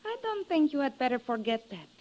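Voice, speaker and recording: A man's voice: extremely high pitch, very animated, a little fast, a little mellow, pitched above the performer's own voice. A man voicing a ventriloquist's dummy, a haughty, threatening woman, in an old radio mystery. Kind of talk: 1940s radio mystery acting.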